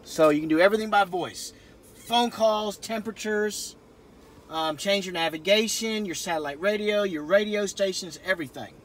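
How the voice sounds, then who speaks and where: High-voiced folksy man. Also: normal speed, fairly bright tone. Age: middle-aged. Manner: folksy, informal. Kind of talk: casual vehicle walkaround. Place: inside a pickup truck cab in a parking lot. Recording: camera audio with cabin noise.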